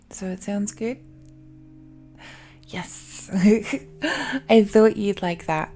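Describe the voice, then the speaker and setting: A woman's voice: medium pitch, very slow, long pauses, mellow, faint music behind. A woman playing an affectionate, possessive dragon-blooded fiancée in an intimate romantic audio roleplay, murmuring tenderly and a little hesitantly. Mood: playful.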